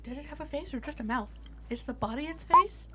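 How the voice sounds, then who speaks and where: A woman's voice: high voice, fairly quick, bright, forceful tone, a little hiss or hum. A woman chatting casually as a player in a tabletop game, inquisitive in the way she talks. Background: music.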